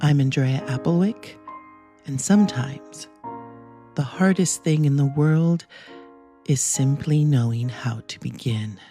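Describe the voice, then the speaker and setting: A podcast host narrating a scripted, reflective audio story in a measured way. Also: mid-range voice, mellow, soft background music.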